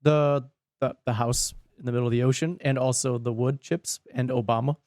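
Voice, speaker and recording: A man's voice: medium pitch, fairly even intonation, fairly quick, darkish tone, dry recording, studio quality. A man chatting casually as a podcast co-host, playful and given to joking interjections. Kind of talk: casual chat.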